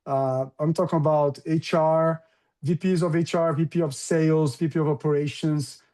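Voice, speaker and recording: Middle-aged man, Brazilian accent, enthusiastic interview guest; fairly high, mellow voice. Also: steady tone, normal speed. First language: Portuguese. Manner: enthusiastic, lists points with frequent fillers. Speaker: guest, recruiting business founder. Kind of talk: conversational interview.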